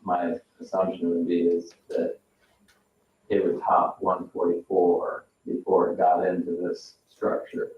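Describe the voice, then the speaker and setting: Male, low and flat, halting explainer at a public meeting; distant, roomy pickup. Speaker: board meeting participant reviewing technical matters. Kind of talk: informal technical discussion at a public meeting. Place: echoey meeting room, picked up from afar. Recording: roomy, distant, muffled meeting audio with noise.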